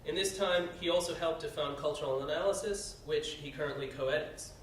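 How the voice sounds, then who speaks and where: Man, moderator reading bios, somewhat high voice, very echoey hall, far from the mic. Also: a little fast, a little hiss or hum. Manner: reads bios with frequent "uh" fillers. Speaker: panel moderator. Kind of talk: reading panelist introductions. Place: conference room. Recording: very echoey room, heard from a distance.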